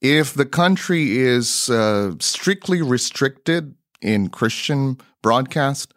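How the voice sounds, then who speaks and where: A middle-aged man with a Filipino accent telling stories as an interview guest, conversationally and a little haltingly as he searches for words. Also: medium pitch, lively intonation, a bit bright, dry recording, studio quality. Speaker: guest missionary broadcaster.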